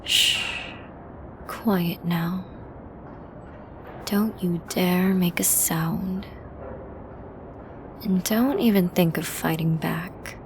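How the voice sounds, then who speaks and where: A woman's voice: fairly low pitch, very slow, long pauses, background noise. A woman playing a flirty, dominant villain who taunts a hostage in an ASMR roleplay, teasing and menacing, often dropping toward a whisper. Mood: menacing, hushed. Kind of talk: ASMR roleplay audio drama.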